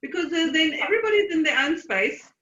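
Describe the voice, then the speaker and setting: A woman hosting an online meditation group's check-in, encouraging and halting, with many fillers. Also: very high voice, lively intonation, pushed and bright, echoey room, muffled recording.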